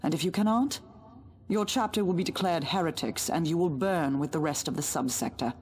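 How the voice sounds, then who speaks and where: A woman playing an imperious, threatening Inquisitor in a video game, speaking in a measured, formal way. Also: low voice, darkish tone, a little hiss or hum, lightly acted. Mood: stern warning. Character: stern, cold female Inquisitor, imperious and threatening. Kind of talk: dramatic video game cutscene acting.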